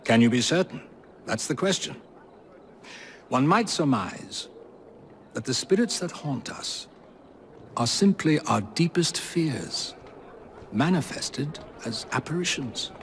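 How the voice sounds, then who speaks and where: Victorian English gentleman, theatrical, deliberate pacing, gravelly creak, lively intonation. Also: on the high side, slight background noise, exaggerated voice. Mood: musing. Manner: formal, deliberate. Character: genteel Victorian gentleman, thoughtful, eloquent, theatrical. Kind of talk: video game cutscene acting.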